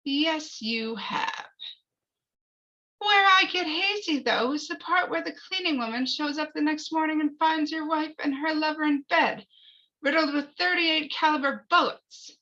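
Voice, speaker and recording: A woman playing a pressing, sarcastic courtroom prosecutor in a dramatic screenplay reading, deliberate and accusatory, on a lo-fi recording. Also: high-pitched, sharp tone, pitched above the performer's own voice. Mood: sarcastic, accusing. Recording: dull, lo-fi recording.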